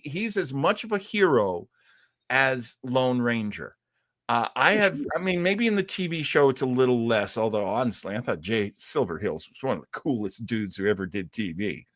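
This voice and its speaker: A man with a medium-pitched voice talks as a show co-host in a close, dry recording. He rambles along casually like an enthusiastic fan on a live stream. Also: fairly bright tone, no room sound. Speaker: co-host of a retro radio show. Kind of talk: casual live-stream chat about old radio serials.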